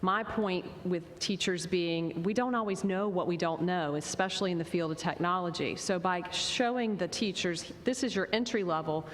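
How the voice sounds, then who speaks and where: A woman's voice: normal speed, hardly any pauses. A woman on a conference panel explaining her school's professional development plans in an earnest way. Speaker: school technology administrator. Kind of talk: panel discussion answer. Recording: reverberant conference hall, faint rumble.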